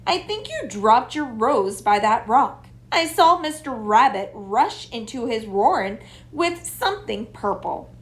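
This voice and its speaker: A woman's voice: a little high, very animated, unhurried, a bit bright. A woman voicing a friendly, reassuring boy who encourages his playmate in a storybook.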